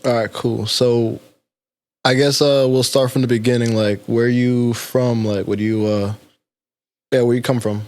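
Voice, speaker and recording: A man's voice: medium pitch, fairly even intonation, unhurried, darkish tone. A man hosting a casual interview, asking laid-back questions with many fillers. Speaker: podcast host.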